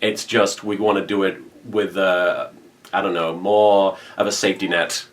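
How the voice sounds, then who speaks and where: A man's voice: low-pitched, little pitch movement, normal speed, slight background noise. A man talking casually as an online video creator updating viewers, with jokey asides. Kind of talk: casual vlog channel update.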